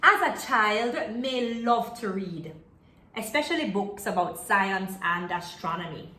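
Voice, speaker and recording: A woman reading a children's book aloud in an expressive way, stopping to put questions to young listeners. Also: medium pitch, measured pace. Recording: roomy, echoey home-style video recording.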